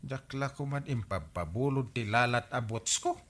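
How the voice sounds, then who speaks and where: A man's voice: mid-range voice, animated, normal speed, fairly bright tone, lightly acted. A man playing a fussy, whiny neighbor who complains with exasperated exclamations in an Ilocano comedy radio drama. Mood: exasperated, whining.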